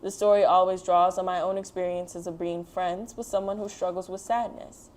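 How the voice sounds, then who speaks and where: A woman hosts a picture book read-aloud for children. Her voice sits at a middle pitch for a woman. She explains things in simple, encouraging words, speaking straight to young listeners. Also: steady tone, moderate pace, darkish tone.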